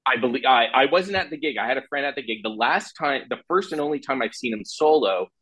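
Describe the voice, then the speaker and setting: A man's voice: medium pitch, lively intonation, fast talker, a bit bright, breathy edge. A man from Canada hosting an interview, talking as an eager fan who laughs easily. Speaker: podcast host interviewer. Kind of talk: casual interview.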